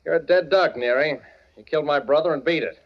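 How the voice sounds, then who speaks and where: A man's voice: fairly high pitch, a little fast. An actor playing a hard, menacing man with a gun in a 1940s radio thriller, curt, sneering and commanding. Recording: dull old radio recording.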